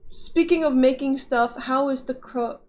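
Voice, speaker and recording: Casting: woman streamer, fairly high voice, chatty and playful, casual talk with her audience. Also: a little slow, darkish tone, slight background noise. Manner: chatty, playful, often laughing. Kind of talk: casual livestream chat reading viewer messages.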